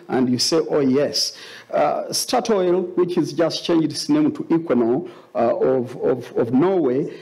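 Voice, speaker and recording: Mellow Ugandan man with a creaky voice. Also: fairly high pitch, poor audio. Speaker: company board chairman on a panel. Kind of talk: panel discussion, explanatory answers. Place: conference stage, indoors. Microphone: gooseneck table microphone.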